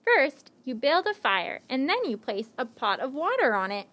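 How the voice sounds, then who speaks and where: A woman voicing a clever, friendly traveling stranger in a children's puppet show, with theatrical, deliberate phrasing. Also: high-pitched, very animated, fairly bright tone. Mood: instructive, sly. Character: friendly, tired, hungry wandering stranger puppet, cleverly coaxing.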